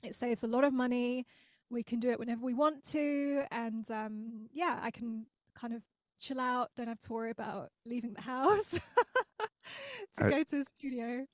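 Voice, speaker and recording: A woman's voice: fairly high pitch, moderate pace, no room sound. A young adult woman singer-songwriter chatting in an interview from her home studio, laughing often and sounding grateful. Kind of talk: casual interview chat. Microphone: studio mic.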